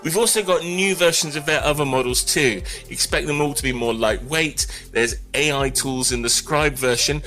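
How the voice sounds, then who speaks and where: A man's voice: fairly high pitch, normal speed, few pauses, pushed and bright, rough recording. A man giving conversational technology news commentary in a wry, opinionated way. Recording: music bed under the voice. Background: background music.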